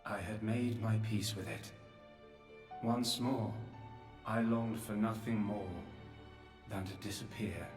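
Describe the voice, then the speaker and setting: An actor playing a solemn, remorseful, godlike old creator in a scripted video game drama, speaking in measured, philosophical phrases. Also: male voice, lowish voice, steady tone. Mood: resigned, remorseful. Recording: roomy long reverb, distant, faint music.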